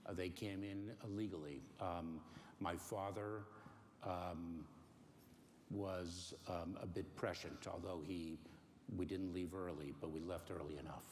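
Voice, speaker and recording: Deep-voiced man, halting careful answers. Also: fairly even intonation, a little mellow. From Cuba. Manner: careful, halting, many ums and pauses. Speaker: interview guest. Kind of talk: measured interview answers. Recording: faint noise in a long-reverb room.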